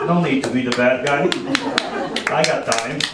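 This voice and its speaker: A man in his thirties from Croatia with a Croatian accent, doing an animated, playful stand-up set on a club stage with a handheld mic. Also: high-pitched, distant mic, very noisy. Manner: animated, playful storytelling with quick asides. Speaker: stand-up comedian. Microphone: handheld microphone. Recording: echoey club, audience laughter. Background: audience laughter.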